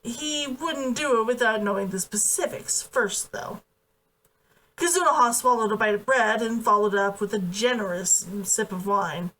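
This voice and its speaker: A woman giving an expressive read-aloud of a novel as its narrator. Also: a little high, normal speed. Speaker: author reading own book aloud. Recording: distant from the mic.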